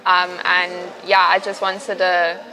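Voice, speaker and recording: Student interviewee, young woman, South African accent, bright tone, relaxed and filler-heavy. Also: fairly low pitch, slow, poor audio. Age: young adult. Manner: relaxed, chatty, lots of fillers like "um, so yeah". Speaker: university student. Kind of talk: casual interview answers.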